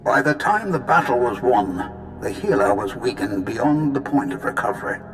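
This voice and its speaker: A man voicing a patient, wise Jedi master spirit in a video game, measured, formal and reassuring. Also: mid-range voice, expressive, echoey room, over music, lightly acted, low-quality recording. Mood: grave storytelling.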